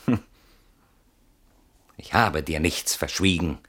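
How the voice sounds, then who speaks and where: A frightened, mistrustful husband in a radio play. His voice is deep and husky, with diction. He speaks in an urgent, insistent, hushed way. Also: slow pace, heavily acted. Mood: uneasy. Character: fearful, suspicious husband convinced a stalker lurks outside. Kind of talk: German radio drama acting.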